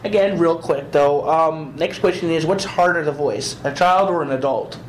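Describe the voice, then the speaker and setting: A woman's voice: deep voice, normal speed. A woman interviewer asking questions in a playful, joking way. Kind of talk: casual interview. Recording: echoey room with faint background noise.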